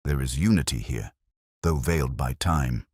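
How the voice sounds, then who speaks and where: A man playing an austere, commanding detective in an audiobook story, speaking in measured, clipped pronouncements. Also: rumbling low, slightly rough, dry recording, clean studio sound, voice pushed down. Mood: coolly analytical.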